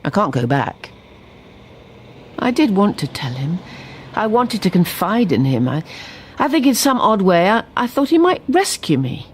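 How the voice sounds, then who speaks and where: An actress playing a fragile, haunted middle-aged wife confiding in a breathy, husky voice, in a radio drama. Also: female voice, mid-range voice, big pitch swings, measured pace, a little hiss or hum. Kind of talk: intimate radio drama monologue.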